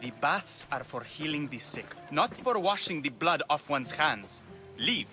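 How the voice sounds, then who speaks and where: Sharp, sneering temple enforcer. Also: male voice, on the high side, lively intonation, a little slow, faint music behind. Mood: stern threat. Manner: mocking, haughty, accusing. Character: suspicious, menacing temple enforcer, an adult man. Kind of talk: dramatic video game acting.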